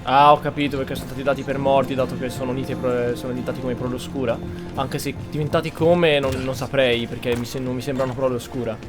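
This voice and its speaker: A man's voice: mid-range voice, level tone, very fast, music in the background. A man giving casual commentary as he plays a video game. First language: Italian. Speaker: gaming streamer.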